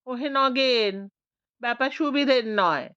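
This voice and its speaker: A woman voicing a sly older man who teases and mocks in a low voice, in a storybook reading. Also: takes it slowly, a bit bright, clean tone, no room sound. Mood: teasing. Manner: low, teasing, sarcastic talk. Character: sly mocking older male ex-thief. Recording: dull lo-fi dry recording.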